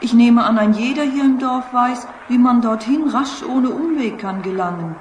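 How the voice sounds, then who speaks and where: A woman playing a magical talking boomerang in a children's radio play, a dutiful servant who speaks in solemn theatrical rhyme. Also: moderate pace, natural voice. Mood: dramatic, eerie. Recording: echo effect with faint noise.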